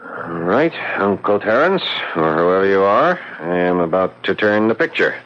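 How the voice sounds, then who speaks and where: A man's voice: low-pitched, big pitch swings, normal speed. A man playing an earnest, exasperated young American suitor in an old-time radio drama, with an American accent. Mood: exasperated, pleading. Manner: earnest, flustered. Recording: muffled, hissy old radio recording.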